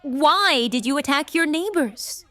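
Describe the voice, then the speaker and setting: Young noblewoman mage: high voice, unhurried but urgent, earnest and determined, audio drama. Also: expressive, sharp tone, no room sound, heavily acted. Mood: firm, earnest.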